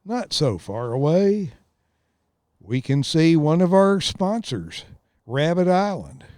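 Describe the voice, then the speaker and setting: A man's voice: a little high, big pitch swings, slow, darkish tone, no room sound, studio quality. A man, co-hosting a podcast in rambling casual chat and telling easygoing stories, recorded outdoors on a lakeside tower. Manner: easygoing storyteller. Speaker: podcast co-host.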